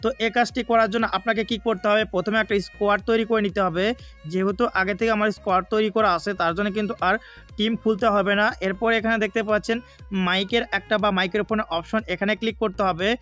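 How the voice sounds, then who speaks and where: A man's voice: high-pitched, steady tone, fast, fairly bright tone. A man with a Bangladeshi accent narrating a gaming tutorial step by step, often repeating his points. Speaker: gaming YouTuber. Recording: faint background music. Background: music.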